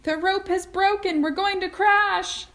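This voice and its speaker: A woman voicing a panicky, queasy married lady who yells and gasps in a storybook reading. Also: very high voice, steady tone, unhurried, pitched above the performer's own voice, heavily acted. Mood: panicked. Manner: yelling and gasping in panic.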